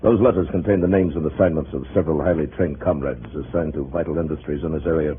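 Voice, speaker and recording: Very deep, domineering party boss. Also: male voice, breathy edge, exaggerated voice. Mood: stern, insistent. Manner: cold, curt, commanding, deliberate. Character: big, stern, domineering communist party boss. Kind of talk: 1950s radio drama acting. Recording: phone-narrow old radio recording, faint noise.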